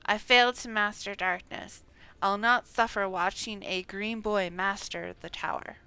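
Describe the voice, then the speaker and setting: A woman's voice: mid-range voice, steady tone, measured pace, bright, forceful tone, smooth. A woman voicing a proud, bitter, wounded man from a fantasy game, with theatrical defiance.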